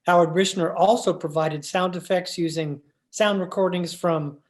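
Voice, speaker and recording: A man's voice: sits high, fairly even intonation, moderate pace. Formal male announcer reading credits. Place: at home, remote session.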